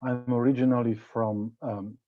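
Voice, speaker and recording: A man's voice: mid-range voice, flat delivery, normal speed. A man, speaking hesitantly and thoughtfully into an earphone mic on a video call from a dim room. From Slovenia. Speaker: participant. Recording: tinny dry call audio.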